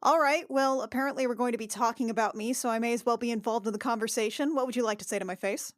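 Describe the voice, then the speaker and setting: A proud young princess, played by a woman at a game table, speaks in a slightly high voice. She is curt and coolly challenging, standing her ground, and the sound is dry and close. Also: quick pace, dry recording, natural voice. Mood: cool, confrontational.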